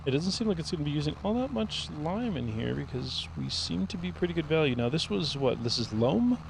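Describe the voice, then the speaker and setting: A man streaming a farming game, thinking aloud in a relaxed, rambling way as he plays. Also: on the high side, brisk, vocal fry, background noise. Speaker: gaming streamer. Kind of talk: casual gameplay commentary.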